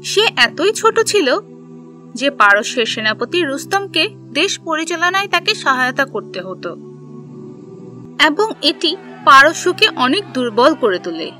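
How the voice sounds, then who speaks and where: High, bright, animated woman narrator. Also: unhurried, faint music behind. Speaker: narrator of an Islamic history cartoon for children. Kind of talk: scripted storytelling narration.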